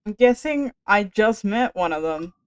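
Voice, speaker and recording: A woman talking casually over her gameplay in a mid-range, low-leaning voice that turns slightly raspy. She has a stuffy nose from a cold and keeps her chat light and joking. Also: lively intonation, a little slow, no room sound. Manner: joking, chatty. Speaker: streamer.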